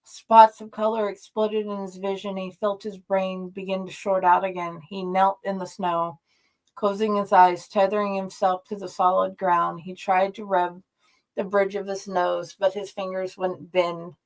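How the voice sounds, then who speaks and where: A woman reading a horror story aloud in a dramatic, deliberate, drawn-out way. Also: medium pitch, level tone, poor audio. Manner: slow, deliberate, drawn-out delivery. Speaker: story reader and host.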